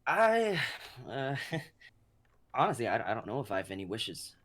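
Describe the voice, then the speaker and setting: A man's voice: medium pitch, sing-song, unhurried, a bit bright. Guy playing a confused skeptical regular dude, hesitant, medium voice that swings around, comedy reading. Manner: hesitant, questioning. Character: bewildered, skeptical, indecisive ordinary guy in his car.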